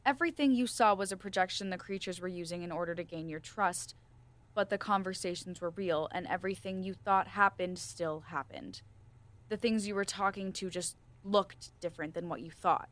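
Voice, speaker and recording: A woman playing a firm but gentle research scientist in an audio drama, speaking in a measured, formal interviewer's manner. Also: fairly low pitch, lightly acted. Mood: explanatory. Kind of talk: student audio drama acting.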